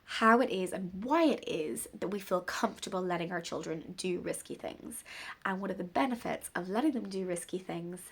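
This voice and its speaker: A woman in her thirties, a bit bunged up with a cold, chatting casually and rambling gently about parenting in an unplanned vlog. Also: lowish voice, normal speed, gravelly, husky. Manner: rambling, gentle, warm-hearted musing. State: congested from a cold. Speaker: parenting vlogger. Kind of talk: casual unscripted talk to camera.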